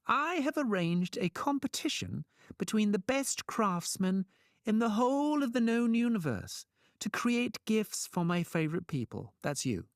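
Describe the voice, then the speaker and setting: Storybook trickster god: high, swooping, smarmy, mock-polite, always wheedling his way out. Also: big pitch swings, a little slow, dry recording, studio quality, exaggerated voice. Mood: smooth, flattering. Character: sly, smarmy trickster god of mischief, male.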